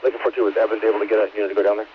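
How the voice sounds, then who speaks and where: A man's voice: fairly low pitch, little pitch movement, racing, narrow, tinny audio, a little hiss or hum. A man working as a police officer, giving businesslike instructions over police scanner radio. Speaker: police officer directing a scene.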